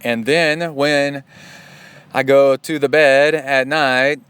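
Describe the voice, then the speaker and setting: A man's voice: medium pitch, steady tone, slow pace, fairly bright tone, smooth, no room sound. A middle-aged man hosting a podcast outdoors in a park, talking in a loose, chatty way with jokey asides. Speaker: podcast host and triathlon coach. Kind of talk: casual rambling podcast monologue.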